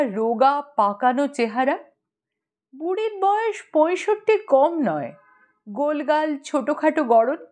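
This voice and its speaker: A woman's voice: a little high, a little mellow. A woman narrating a humorous short story as an audiobook reader.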